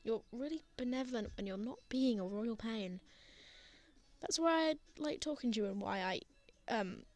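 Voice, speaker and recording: A woman playing a prickly, flustered, lovestruck young man in an intimate ASMR roleplay, with halting, sardonic delivery. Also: a little high, lively intonation, a little mellow, close to an everyday voice. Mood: awkward, apologetic.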